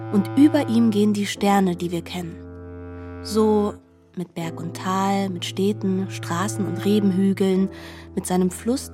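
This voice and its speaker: An actress playing a solemn, musing narrator in a literary radio drama, with a lofty, measured delivery. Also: female voice, medium pitch, lightly acted. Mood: calm, descriptive. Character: solemn, musing female narrator of a mythic novel.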